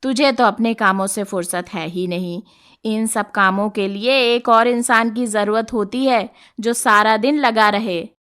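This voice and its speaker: Cartoon story role: scheming shopkeeper mum, voiced by a woman, greedy and disapproving, high-pitched, very unhurried speech. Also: fairly bright tone, smooth. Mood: calculating. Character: jealous, greedy middle-aged shopkeeper mother. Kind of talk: Hindi animated moral story dialogue.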